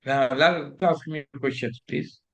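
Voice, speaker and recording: A man with an Indian English accent teaches a classroom in an emphatic, lecturing way. His voice sits a little high for a man. He sometimes turns to scolding his students. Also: slow, a bit bright.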